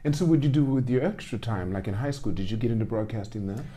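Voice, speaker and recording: A fast-talking man with a mellow, mid-pitched voice. He interviews casually, firing short questions and dropping in frequent little affirmations. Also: expressive. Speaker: podcast host, interviewer.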